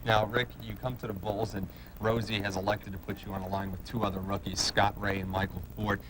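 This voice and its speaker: Male TV sports interviewer. Rapid, slightly low voice, long-winded questions, noticeable noise behind. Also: little pitch movement. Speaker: sports show host and play-by-play announcer. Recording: clearly noisy background.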